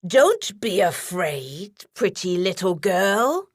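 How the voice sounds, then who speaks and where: A woman voicing a cunning, greedy, grumpy male wolf in a children's story, with a sly, drawn-out delivery. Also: on the low side, big pitch swings, no room sound, studio quality, voice pushed down, full character voice. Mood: sly, wheedling.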